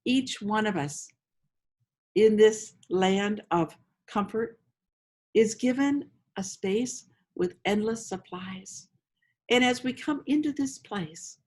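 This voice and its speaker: Female meditation host, medium pitch, slow inviting delivery, gentle storytelling with generous pauses. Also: slightly rough. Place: outdoors among roses.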